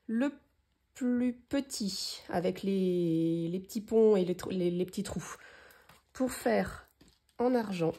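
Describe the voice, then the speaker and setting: A woman's voice: medium pitch, warm tone, a little hiss or hum. A woman from France with a head cold, chatting through a casual papercraft tutorial and rambling with many fillers as she shows her materials. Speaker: crafting tutorial host. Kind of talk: casual hands-on craft tutorial.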